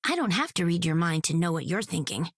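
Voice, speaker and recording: A husky, perceptive alien heroine. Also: female voice, on the low side, fast talker, no echo, studio quality, heavily acted. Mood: playful. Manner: formal, calm, gently teasing.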